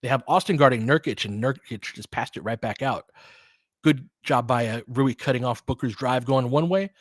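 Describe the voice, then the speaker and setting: A man's voice: medium pitch, moderate pace, dry recording, studio quality. A man giving informal live basketball play-by-play as a fan-facing host, opinionated and conversational, in clipped phrases.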